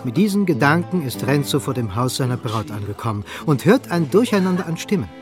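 Male radio drama narrator, slightly high. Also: big pitch swings, a little fast, over music.

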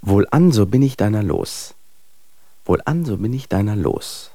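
A venomous, bitter man declaiming curses in a radio drama. His lowish voice creaks heavily and swings widely in pitch as he chants each deliberate line. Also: lively intonation, dark tone, no room sound, heavily acted. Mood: venomous, cursing. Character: bitter man cursing a woman in obscene verse.

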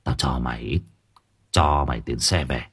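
A man voicing a kindly, resigned old labourer in an audio story, gentle, cautious and fatherly. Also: very deep voice, very animated, slow, breathy edge, pitched below the performer's own voice, heavily acted. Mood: worried, urging. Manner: gentle, worried, advising patience.

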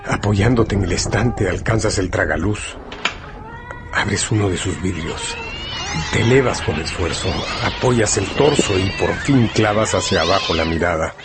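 A man's voice: deep voice, unhurried. A man narrating a radio drama in a scripted, dramatic storytelling style. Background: music.

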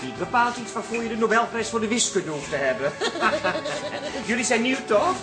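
An actor playing a camp, gushing ballroom dance teacher in a radio comedy. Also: male voice, very high voice, animated, normal speed, over music.

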